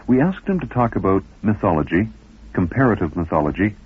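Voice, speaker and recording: A man's voice: low voice, sing-song, fairly quick, slightly rough. A man working as a radio host, reading scripted introductions to interviews. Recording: muffled phone-like radio recording with noise.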